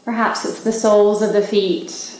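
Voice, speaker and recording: Woman doing a guided body scan, slow gentle instructions w long gaps, super echoey space, bit of noise behind. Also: medium pitch, flat delivery. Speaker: meditation teacher leading a body scan. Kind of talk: guided meditation, spoken live to a group. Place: large indoor gathering space. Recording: very echoey room with faint background noise.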